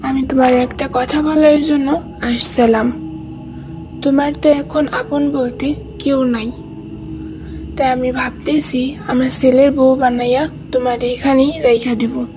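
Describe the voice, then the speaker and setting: Woman as high-voiced elderly village mother. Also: flat delivery, measured pace, background noise. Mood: kindly, coaxing. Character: kindly elderly village mother fussing over her son. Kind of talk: cartoon story acting. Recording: music behind the voice.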